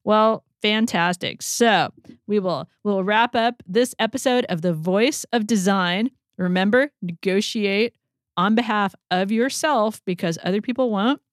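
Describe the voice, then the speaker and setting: A young adult woman co-hosting a casual podcast, chatty and quick to laugh, often trailing off mid-sentence. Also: mid-range voice, dry recording, clean studio sound. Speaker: podcast co-host.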